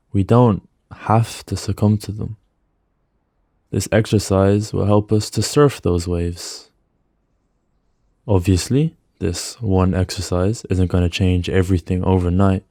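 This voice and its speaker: A man narrating a guided meditation in a soothing, gentle manner, with measured phrases and pauses, close on the mic. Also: deep voice, no room sound.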